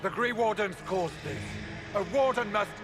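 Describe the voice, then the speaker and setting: An actor playing a valiant, self-sacrificing warrior in a fantasy game, declamatory and urgent. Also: male voice, sits high, expressive, moderate pace. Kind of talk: dramatic video game acting. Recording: loud music over the voice.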